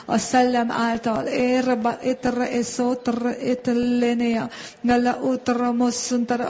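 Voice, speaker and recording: Monotone charismatic prayer leader, chanting. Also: mid-range voice, muffled recording. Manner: repetitive, incantatory praying.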